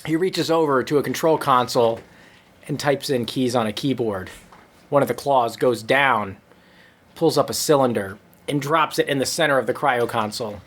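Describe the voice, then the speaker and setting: A man reading a comic story to listeners with dramatic, drawn-out noir-style narration. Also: mid-range voice, a bit bright. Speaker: comedy storyteller.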